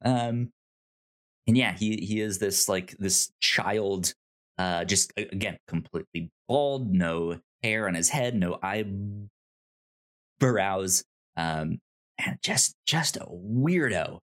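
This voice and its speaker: A man co-hosting a casual discussion of a TV show, halting and repeating words as he thinks. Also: sits low, expressive, a bit bright. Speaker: podcast co-host.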